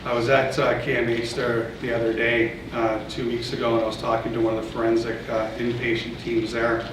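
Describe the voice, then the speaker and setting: A man's voice: medium pitch, completely flat delivery, fairly quick, recorded from a distance. A man with a Canadian accent giving a conference presentation, hesitant, with many fillers and restarts. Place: large conference room. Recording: echoey hall, voice from off-mic, noisy.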